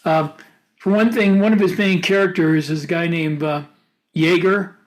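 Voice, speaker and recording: A man co-hosting a podcast, discussing books casually and giving blunt opinions in halting, restarted phrases. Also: sits high, steady tone, rough recording. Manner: opinionated, halting mid-thought. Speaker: podcast co-host.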